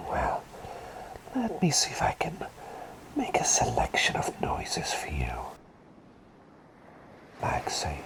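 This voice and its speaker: A man playing a gentle, curious masked plague doctor in a whispered ASMR roleplay, breathy, playful and polite. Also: sits low, heavily acted, poor audio. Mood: gently inquisitive. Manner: soothing, playful, polite murmuring.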